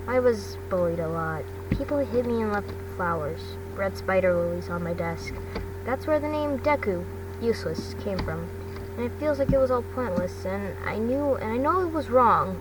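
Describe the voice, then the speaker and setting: A woman's voice: mid-range voice, lively intonation, moderate pace, close to an everyday voice. A woman voicing a vulnerable teenage boy hero student in a fan fiction reading, hesitant and earnest. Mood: hurt, confiding. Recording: distant mic, clearly noisy background.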